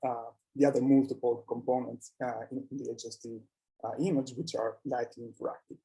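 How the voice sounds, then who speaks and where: A man presenting research at a scientific conference, speaking haltingly and often repeating words. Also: medium pitch, vocal fry, warm tone, poor audio.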